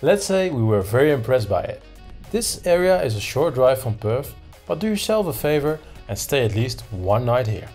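A man's voice: medium pitch, big pitch swings, normal speed, hardly any pauses, a little mellow, faint music behind. A man in his thirties narrating a travel vlog. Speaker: travel vlogger.

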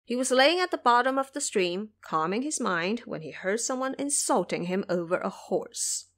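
A woman's voice: a little high, animated, normal speed, a bit bright. Woman narrating a dramatic tale. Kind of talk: scripted dramatic story narration.

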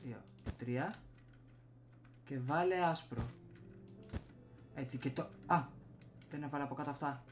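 A young man from Greece hosts a gaming video with casual, muttered commentary. He talks to himself as he plays, recorded on a desktop microphone at home. Music plays clearly behind his voice, along with some keyboard typing. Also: medium pitch, long pauses. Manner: muttering to himself while playing. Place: small room at home. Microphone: desktop USB microphone.

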